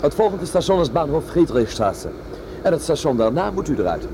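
An actor playing an effusive, sentimental gentleman traveller in a radio drama, exclaiming and savouring his words. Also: fairly high pitch, big pitch swings, fairly quick. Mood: chatty. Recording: noisy track with vehicle ambience.

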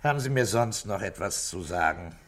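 A man playing a calm, middle-aged police inspector in a 1960s German radio drama, questioning in terse, measured phrases. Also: low voice. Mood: probing, measured.

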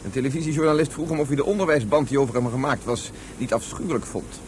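A man's voice: mid-range voice, quick pace, darkish tone, close to an everyday voice. Actor as a wry, scornful man, faint hiss. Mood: wry, dismissive. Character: scornful, wry educated man in a sci-fi story. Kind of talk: radio drama dialogue. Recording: faint noise on radio play recording.

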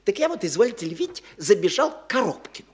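A fussy middle-aged country landowner who rambles and stammers breathlessly. His pitch bounces all over the place as he piles up detail. A stage radio play reading. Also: sing-song, fast. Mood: eager.

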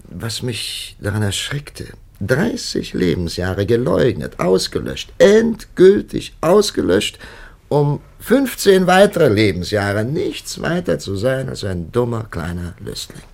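World-weary prelate, gravelly, widely swinging intonation, hesitant, ponderous, 1950s radio drama. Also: male voice, mid-range voice, sing-song, takes it slowly, darkish tone, full character voice. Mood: bitter, emphatic. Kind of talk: 1950s German radio play acting.

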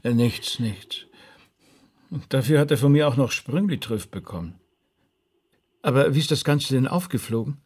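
Man acting a polite puzzled listener, husky mid voice, hesitant questions, radio drama. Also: mid-range voice, moderate pace, pauses often, darkish tone, lightly acted. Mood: pensive, curious. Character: puzzled, courteous man drawing out a confession.

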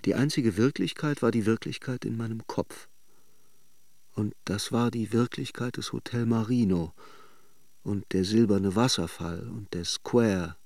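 A man's voice: lowish voice, a little mellow, no room sound. Earnest lovestruck man, close dry sound. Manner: earnest, yearning, insistent. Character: lovestruck, obsessive man, narrating lover of a married woman. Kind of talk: radio drama acting.

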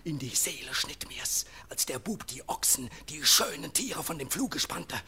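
An actor playing a hot-tempered young male fugitive in a classic German radio play, his husky voice often dropping to urgent whispers. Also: a little high, expressive, a little slow, sharp tone, exaggerated voice. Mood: angry. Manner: urgent, anguished outbursts.

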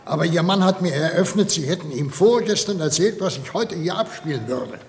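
An actor playing a principled, suspicious professor in a German radio play, formal and firm. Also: male voice, a little high, a little fast, lightly acted. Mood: probing. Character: Henry, principled, suspicious male professor and inventor. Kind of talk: 1960s-80s German radio play acting. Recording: long reverberant hall echo.

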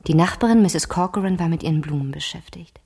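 An inquisitive teenage girl thinking through a diary in a radio play. The voice is soft, whispery and lowish, full of air. It sounds private and musing. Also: fast talker. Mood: hushed, musing. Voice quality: breathy, whispery. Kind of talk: hushed radio drama narration.

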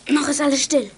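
Alert child detective, plucky, urgent, high register, youth radio drama, light noise. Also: female voice. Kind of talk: 1980s German youth radio drama acting.

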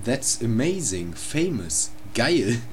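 Pompous ad manager, voiced by a man, salesy and buzzword-heavy, slow with a swooping delivery. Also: medium pitch, big pitch swings, slight background noise. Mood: pushy. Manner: pompous, drops English buzzwords. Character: pompous male advertising manager, pushy salesman.

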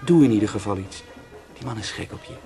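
A drowning man in a radio drama, his voice on the low side. He rambles wryly and muses on death, with music prominent behind him. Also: lively intonation, fast talker, dark tone. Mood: urgent, bitter. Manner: wry, musing, rambling monologue. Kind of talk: Dutch radio play acting.

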